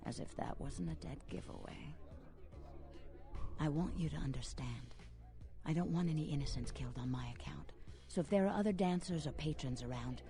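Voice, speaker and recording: An actress playing a secretive, fearful woman in a video game, coaxing and pleading, often dropping to a hush. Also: low-pitched. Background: music.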